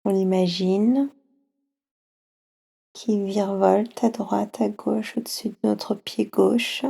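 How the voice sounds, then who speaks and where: A woman with a French accent guiding children through a slow yoga nidra relaxation, speaking softly and soothingly with long pauses. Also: mid-range voice, steady tone, gravelly, breathy edge.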